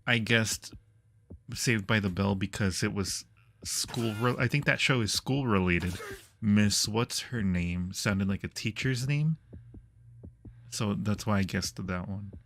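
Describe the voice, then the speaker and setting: A man's voice: on the low side, takes it slowly, a bit bright, a little hiss or hum. A man hosting a casual game livestream, chatting in a supportive, reassuring way. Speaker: livestream host.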